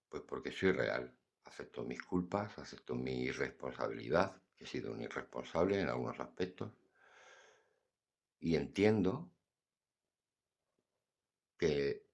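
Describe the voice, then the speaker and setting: Very deep husky older man. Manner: blunt, earnest, preachy. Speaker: podcast host. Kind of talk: casual philosophical monologue.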